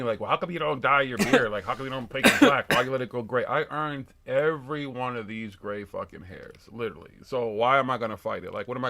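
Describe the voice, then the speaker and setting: Man, 50, live-show co-host, rapid rambling profane banter. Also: medium pitch. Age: 50s. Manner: loose, profane, rambling storytelling. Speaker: podcast co-host. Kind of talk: casual live-stream chat reading comments.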